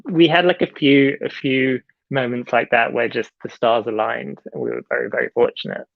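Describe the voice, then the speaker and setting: A young man answers interview questions over a video call, halting and full of fillers and restarts. His voice has a gravelly edge. The sound is dry and a little dull. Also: mid-range voice, fairly even intonation, dry recording. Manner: hesitant, many fillers and restarts. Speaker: film director.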